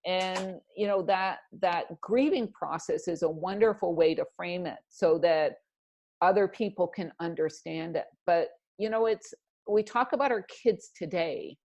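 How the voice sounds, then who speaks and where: A woman's voice: fairly low pitch, unhurried, a little mellow. A woman in her fifties speaking as a guest in a conversational radio interview, reflective and earnest, with emphatic phrasing. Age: 50s.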